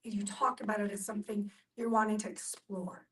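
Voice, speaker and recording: A woman's voice: medium pitch, little pitch movement, brisk, far from the mic. A woman speaking earnestly in a reflective group discussion, explaining her points and repeating words for emphasis.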